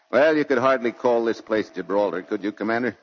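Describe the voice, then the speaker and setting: An actor playing a genial, composed and wry Marine major with polite military speech in a 1940s radio drama. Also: male voice, on the low side, moderate pace, close to an everyday voice. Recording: dry, dull 1940s radio recording.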